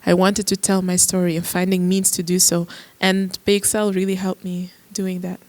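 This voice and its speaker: A woman's voice: on the low side, completely flat delivery, normal speed, a little mellow, no echo. A woman musician on a discussion panel, speaking earnestly and restarting her phrases as she thinks. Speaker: musician guest.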